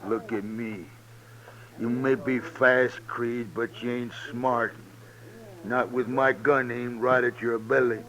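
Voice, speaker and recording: Belligerent tipsy Western farmhand, very slow needling drawl, dull vintage radio recording. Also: male voice, medium pitch, level tone, a bit bright. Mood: smug threat. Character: tipsy, belligerent frontier farmer itching for a fight. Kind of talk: old-time radio western acting.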